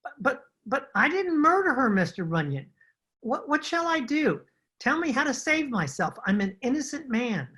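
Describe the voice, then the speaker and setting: A woman playing a nervous, evasive man in a radio detective drama, husky, hesitant and formally polite. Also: high-pitched, sing-song, moderate pace, a bit bright, full character voice. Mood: pleading, frightened. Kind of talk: old-time radio detective acting. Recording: home recording over video call.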